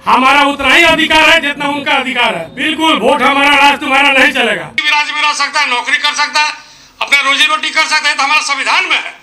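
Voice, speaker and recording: A man's voice: very high-pitched, steady tone, brisk, crowd noise. A middle-aged man making an emphatic, defiant political statement to the media. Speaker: political speaker.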